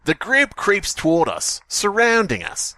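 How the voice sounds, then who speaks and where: A man reads a story aloud as a podcast co-host, with a very lively, swinging delivery. He is jokey and sweary and breaks off to mock what he reads. The sound is dry, with no room to it. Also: high-pitched, unhurried, no room sound. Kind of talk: comic read-aloud with casual riffing.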